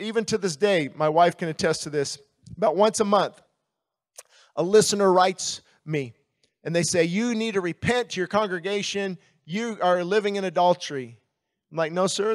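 An American man in his fifties, a pastor giving a candid, conversational testimony with pauses and repeated words into a handheld microphone. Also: sits high. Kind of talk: sermon with personal testimony. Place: outdoor stage at a baseball stadium in the evening.

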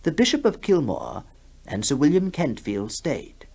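A man's voice: a little high, huge pitch swings, measured pace, creaky, darkish tone, breathy edge. A man narrating a ghost story in a formal, theatrical manner. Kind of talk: dramatic reading of a ghost story.

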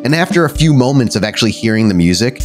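A man's voice: medium pitch, big pitch swings, fast talker, music in the background. A man narrating a tabletop role-playing game as game master, descriptive and dramatic with casual asides. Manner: descriptive, dramatic storytelling mixed with casual rules talk.

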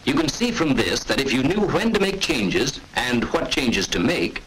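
A man giving scripted, measured and authoritative narration for a 1940s military training film. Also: mid-range voice, very animated, fairly bright tone, slight background noise, rough recording.

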